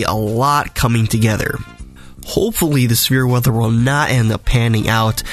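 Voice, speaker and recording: A man hosting a weather podcast as a meteorologist, his voice raspy from a cold, talking through the forecast in a conversational, detailed way. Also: medium pitch.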